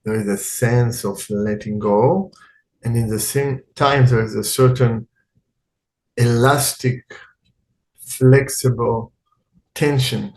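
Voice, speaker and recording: A man teaching guided meditation in a calm, unhurried way, speaking in short phrases with long pauses. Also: medium pitch, vocal fry, a little hiss or hum, rough recording.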